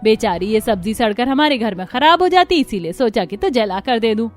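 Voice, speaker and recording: A woman's voice: a little high, animated, brisk, faint music behind, natural voice. A woman playing a bossy, stubborn and proud mother-in-law in a cartoon story, with a scolding manner. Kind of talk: Hindi cartoon story acting.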